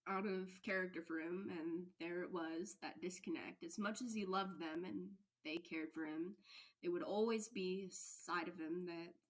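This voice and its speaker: A woman narrates a story in a slightly low voice. Her reading is expressive, in the manner of an amateur audiobook. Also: rough recording. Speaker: fan fiction podfic reader.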